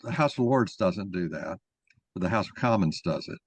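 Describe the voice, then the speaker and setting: Low-voiced man explaining, dry close sound. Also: animated, moderate pace, slightly rough, no echo. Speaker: moderator and main speaker of a mock-trial discussion. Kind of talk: informal explanatory discussion.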